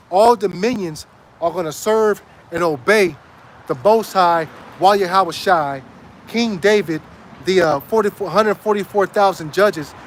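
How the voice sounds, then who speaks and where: A man preaching outdoors in an emphatic street sermon style, repeating lines and checking in with "right?", his voice going hoarse. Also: high voice, slight background noise.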